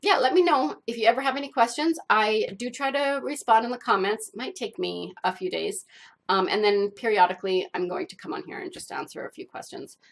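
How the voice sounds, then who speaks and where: Stuffy-nosed woman with a gravelly voice. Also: fairly high pitch, brisk. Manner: chatty, rambling with many fillers. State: stuffed up from a cold. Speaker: art channel host. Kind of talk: casual talk to camera.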